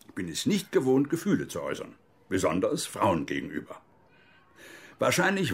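An older man, an actor playing an embittered, stiff old army major in a radio play, with deliberate, biting delivery. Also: fairly low pitch, gravelly, slightly rough, full character voice. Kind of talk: radio drama dialogue, letter reading.

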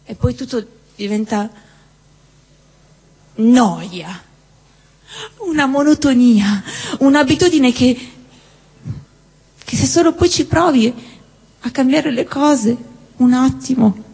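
A woman acting a wry, teasing, restless sweetheart in halting theatrical dialogue, repeating herself as she goes. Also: sits high, expressive, gravelly, warm tone, slightly rough, heavily acted. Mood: bitter irony. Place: theatre hall, live show. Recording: echoey hall, live stage recording.

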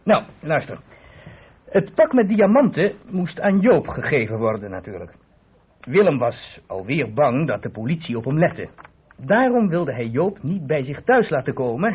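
A police inspector in a radio drama, lively and rather high in pitch. He lays things out patiently in a husky voice, on a narrow, phone-like recording. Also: measured pace, heavily acted. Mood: explanatory. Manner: explanatory storyteller, chuckling asides. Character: police inspector patiently explaining a diamond theft. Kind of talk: Dutch radio play acting.

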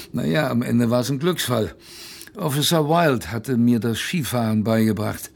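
An actor playing a young polar sailor who narrates his ordeal in a radio play, vivid, conversational and suspenseful, with a creaky voice. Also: mid-range voice, background noise. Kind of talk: dramatic first-person radio play narration.

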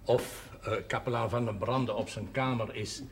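A man's voice: medium pitch, steady tone, gravelly, lightly acted. Man acting a wry senior clergyman, noisy recording. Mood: wry, courteous. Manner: measured, teasing, formal. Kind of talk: television period drama acting. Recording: noisy old television soundtrack.

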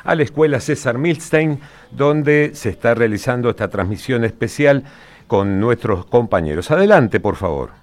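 A man's voice: mid-range voice, expressive, normal speed. A man, hosting live radio and handing over to colleagues. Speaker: radio studio host.

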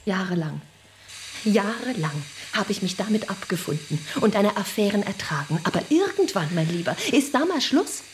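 An actress playing a bitter, mocking wife in a radio drama, sneering and contemptuous. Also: female voice, mid-range voice, sing-song, normal speed, slight background noise, lightly acted. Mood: bitter scorn.

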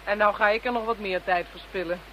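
An actress plays an independent, self-assured female inspector in a radio play. Her voice is medium in pitch, brisk and lightly teasing. The sound is dull with a faint hiss. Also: steady tone, fairly bright tone, natural voice. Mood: friendly.

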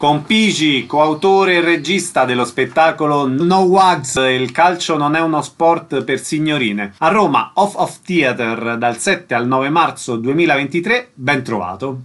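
Male radio interviewer, slightly high voice, putting questions and reading out announcements. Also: measured pace, hardly any pauses, fairly bright tone, faint music behind.

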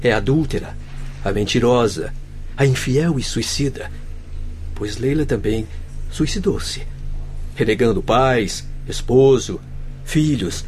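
A man's voice: medium pitch, takes it slowly, pauses often, natural voice. A man playing a grave, sorrowful doctor and spiritual mentor in a radio drama, speaking formally and solemnly. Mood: solemn, grieving. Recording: faint music behind. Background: soft background music.

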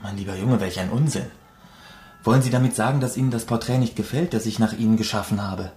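An actor playing an earnest, devoted painter in a radio drama, speaking formally and with emotional intensity. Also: male voice, fairly low pitch, fast, darkish tone, faint music behind, natural voice. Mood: baffled. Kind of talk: German radio drama acting.

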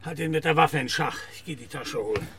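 An actor playing a menacing, grandiose older criminal who commands and taunts in a German crime radio play. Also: male voice, fairly high pitch, bright, forceful tone, slight background noise. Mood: contemptuous. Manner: commanding, taunting, drawn-out then snapping delivery. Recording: music under the voice.

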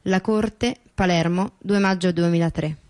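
A female stage performer giving a staged reading of a court ruling and a chronology, with measured, formal recitation. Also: on the low side, steady tone, a little mellow.

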